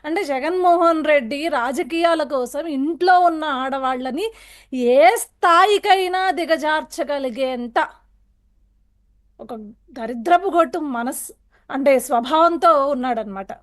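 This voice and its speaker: Very high-pitched woman arguing forcefully. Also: unhurried. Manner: forceful, accusatory, rhetorical questioning. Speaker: political panel guest. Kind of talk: heated television political debate.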